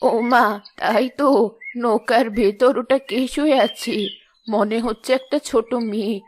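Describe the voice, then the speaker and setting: A woman's voice: fairly high pitch, unhurried, a little hiss or hum, lightly acted. A woman voicing a poor, tender elderly village grandmother in a cartoon fairy tale. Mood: gentle concern. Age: older.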